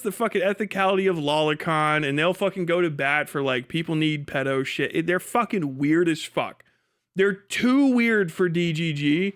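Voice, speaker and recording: Male streamer, high clear voice, profane and rambling off-the-cuff commentary. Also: a bit bright. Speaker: streamer reacting to videos. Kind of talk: casual live-stream commentary.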